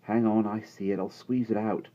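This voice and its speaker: A man's voice: lowish voice, level tone, moderate pace, mellow, voice pushed up, close to an everyday voice. A man voicing an earnest, caring and stubborn young boy. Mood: gentle. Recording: dull lo-fi recording.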